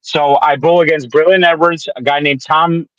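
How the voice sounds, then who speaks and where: Man, twenties, from Detroit, casual sports talk, rambling, profane, slightly high voice, dry close sound. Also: fairly even intonation, moderate pace, a bit bright, no room sound, highs cut off. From Detroit area. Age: 20s.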